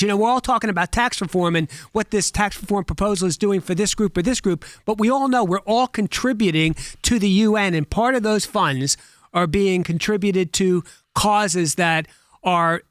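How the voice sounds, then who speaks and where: A man on a talk show panel, speaking in an indignant, forceful way. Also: high voice, no echo, studio quality. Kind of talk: studio talk show discussion.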